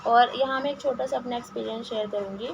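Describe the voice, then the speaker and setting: A hoarse woman teacher goes through exam-prep questions, her slightly high voice gravelly and rough. She hesitates often and restarts her words. The recording is dull and lo-fi with clear background noise. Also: steady tone, fast talker. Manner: hesitant, frequent filler sounds and restarts, mixes in English words. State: hoarse from a sore throat. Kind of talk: reading quiz questions and answers, casual explanation.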